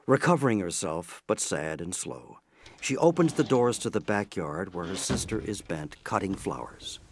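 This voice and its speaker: A man narrating an audio play in a scripted, measured storytelling manner. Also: low voice, big pitch swings, background noise.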